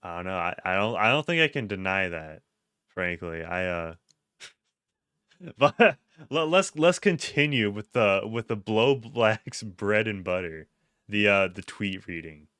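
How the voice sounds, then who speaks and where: A man's voice: on the low side, lively intonation, measured pace, bright, forceful tone, smooth, no room sound, clean studio sound. A man hosting a casual livestream, reading chat aloud, laughing often and restarting his sentences. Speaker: streamer host.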